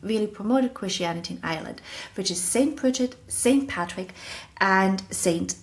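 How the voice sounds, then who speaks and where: A woman's voice: medium pitch, normal speed. German-accented woman rambling through spiritual history. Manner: rambling, self-correcting, laughs often at herself. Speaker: podcast host. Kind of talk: casual solo talk on spiritual history.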